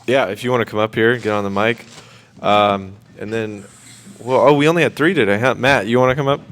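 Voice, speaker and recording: A man's voice: mid-range voice, a bit bright. A man casually hosting a student radio session, informal and encouraging as he calls people up to talk.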